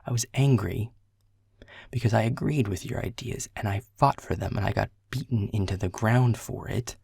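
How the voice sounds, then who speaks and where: Man voicing a world-weary gruff boss, very low, gravelly, husky half-whisper, blunt, swearing. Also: moderate pace, darkish tone, dry recording, clean studio sound, exaggerated voice. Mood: frustrated. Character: world-weary gruff male middle manager. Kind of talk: audio roleplay acting.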